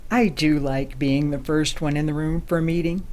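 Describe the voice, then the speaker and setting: A woman playing a courteous, playful ambassador in a science fiction audio drama, polite and gently teasing. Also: deep voice, steady tone, normal speed, slight background noise, lightly acted. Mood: playful, friendly.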